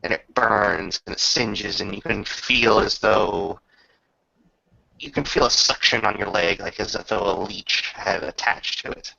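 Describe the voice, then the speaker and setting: A man acting as game master, improvising descriptions and rulings in casual, halting talk with a creaky voice. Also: lowish voice, bright, forceful tone, dry recording, lo-fi sound. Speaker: game master running a tabletop roleplaying game.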